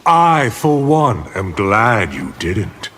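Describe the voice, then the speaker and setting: A scheming necromancer villain from a video game. He speaks slowly and haughtily, with huge theatrical swings of pitch and open menace. Noise sits clearly behind the voice. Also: very animated, fairly bright tone, exaggerated voice. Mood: sly, amused. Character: scheming, arrogant male necromancer sorcerer villain.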